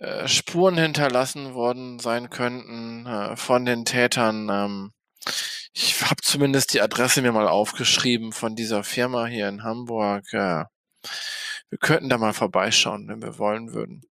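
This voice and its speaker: A man playing a polite, practical vampire investigator in an audio drama, thinking aloud haltingly. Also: mid-range voice, fairly even intonation, gravelly, pushed and bright, no echo. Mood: pondering, practical. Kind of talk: improvised role-play audio drama dialogue.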